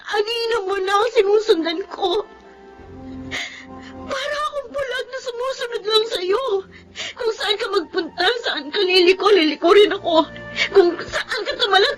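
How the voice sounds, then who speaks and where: High-voiced, emotional young Filipina daughter. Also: female voice, fairly bright tone, exaggerated voice. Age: young adult. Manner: emotional, pleading. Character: anguished young daughter defying family expectations. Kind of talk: Tagalog radio-style life drama acting with narration.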